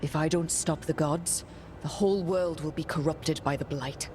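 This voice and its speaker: An actress playing an earnest, determined heroine in a fantasy video game, speaking plainly and sincerely. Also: female voice, sits low, steady tone, moderate pace, darkish tone, a little hiss or hum, natural voice. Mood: resolute, pleading. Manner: plain-spoken, sincere, resolute. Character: earnest, determined young heroine, leader of companions.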